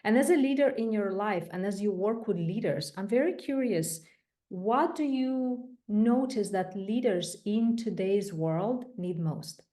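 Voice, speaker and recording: Woman host, unhurried delivery, effusive and deliberate with guests. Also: medium pitch, lively intonation, dark tone. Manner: slow, deliberate, effusive praise. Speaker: podcast host and co-founder. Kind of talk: podcast interview.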